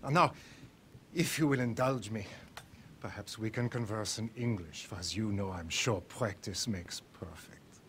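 A man's voice: lowish voice, unhurried, a little hiss or hum, close to an everyday voice. An actor playing a middle-aged Nazi officer in a war game, German-accented, mock-courteous and menacingly polite as he savors his words. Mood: suspicious, mock-courteous. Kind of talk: cinematic war game acting.

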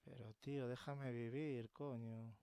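A man's voice: mid-range voice, steady tone, unhurried, noisy background. A man with a Castilian accent from Spain gives casual, sweary horror game commentary, muttering to himself. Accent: Castilian Spanish from Spain. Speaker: gamer, host of a let's play series. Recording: loud game ambience throughout. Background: loud horror game ambience.

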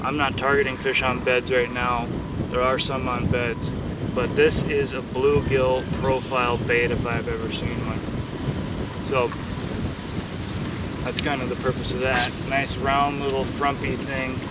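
A man's voice: medium pitch, measured pace. Young man explaining fishing from a kayak. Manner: relaxed explaining, bursts of excited shouting when fish bite. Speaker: fishing host. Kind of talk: casual on-the-water instruction. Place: kayak on a windy lake outdoors. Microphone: action camera on the kayak. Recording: distant mic with wind and water noise.

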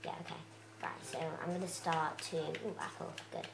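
A young girl rambles through her let's play with plenty of fillers, her voice croaky and gravelly. She talks low for her age. The room around her sounds echoey. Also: flat delivery, moderate pace. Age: child. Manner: chatty, rambling, many fillers. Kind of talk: casual game commentary.

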